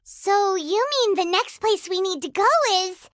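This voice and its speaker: A woman's voice: measured pace, no echo, clean studio sound. A woman voicing a tiny, childlike fairy companion in a video game, nervous, curious and given to exclaiming. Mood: questioning. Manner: refers to herself in third person, squeaky exclaiming.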